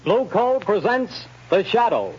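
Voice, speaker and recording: A man's voice: high voice, big pitch swings, measured pace, a little mellow, soft background music. A man announcing and reading advertising in a formal, declamatory 1930s radio style. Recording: dull lo-fi 1930s radio recording.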